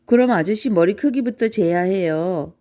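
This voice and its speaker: A woman's voice: medium pitch, normal speed, warm tone, natural voice. Woman as a curious, polite little storybook boy. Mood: polite, matter-of-fact.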